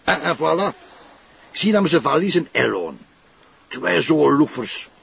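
An actor playing a chatty, nosy neighbour with a Flemish accent, rambling and halting in a television crime drama. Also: male voice, a little high, big pitch swings, vocal fry, fairly bright tone, slightly rough, lo-fi sound, full character voice.

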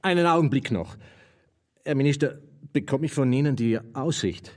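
An actor playing an anxious, folksy mountain-film director in a radio drama. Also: male voice, medium pitch, lively intonation, moderate pace, a little mellow, close to an everyday voice. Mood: anxious, insistent. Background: prominent music.

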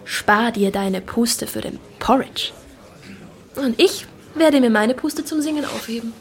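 An actress in a German radio drama playing a witty, spirited young gentlewoman, playful, teasing and self-possessed. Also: a little high, unhurried, crowd noise. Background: music.

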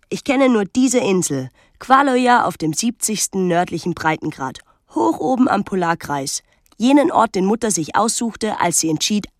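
An actress playing an observant young island woman, narrating a literary radio drama in a measured, lyrical way. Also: lowish voice, no room sound, studio quality. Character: observant, reflective young island woman recalling her life. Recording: music under the voice. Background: music.

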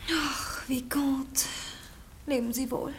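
High-voiced, coy unfaithful wife. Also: female voice, fairly even intonation, slow, slight background noise. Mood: coy, disdainful. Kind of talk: radio play acting.

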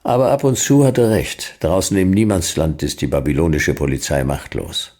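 Very deep, gravelly older detective. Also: male voice, normal speed, darkish tone. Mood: dry. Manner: terse, dry, sardonic. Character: gruff, world-weary older male private detective. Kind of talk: German radio play acting with noir narration.

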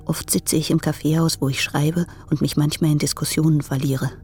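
An actress playing a proud, candid, impoverished young translator in a German radio play, speaking deliberately in a breathy voice. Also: female voice, deep voice, little pitch movement, a little mellow, faint music behind, natural voice. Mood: restless, curious. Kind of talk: German radio play, reading letters aloud.

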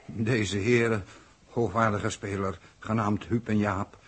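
An actor playing a solemn, deferential humanoid in a 1960s science fiction radio drama, speaking formally. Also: male voice, fairly low pitch, fairly even intonation, slow pace, breathy edge. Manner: formal, reverent. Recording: vintage radio recording, faint noise.

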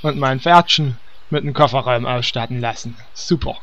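A man's voice: mid-range voice, moderate pace, a bit bright, lo-fi sound, lightly acted. A man playing a simple, chatty fantasy warrior in a comedy audio drama, folksy and dialect-tinged.

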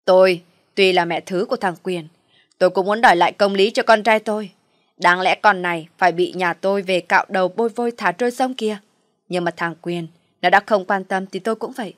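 A woman's voice: medium pitch, fairly quick, no room sound, clean studio sound, lightly acted. A woman voicing a stern, bitter middle-aged stepmother in an audio story. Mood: bitter, indignant. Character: vengeful middle-aged stepmother demanding justice.

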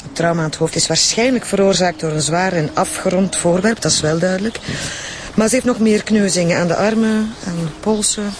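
A Flemish actress playing a frank, careful forensic pathologist in a radio drama, explaining in a familiar way. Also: female voice, lowish voice, fairly quick, background noise, natural voice. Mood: clinical. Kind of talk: naturalistic radio drama dialogue.

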